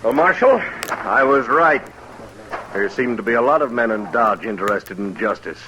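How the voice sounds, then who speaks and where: A man's voice: mid-range voice, lively intonation, normal speed, crowd noise, natural voice. A man acting a brash, menacing mob leader in a 1950s radio western, with a pushy, taunting delivery. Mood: taunting, demanding. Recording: noisy old-time radio recording.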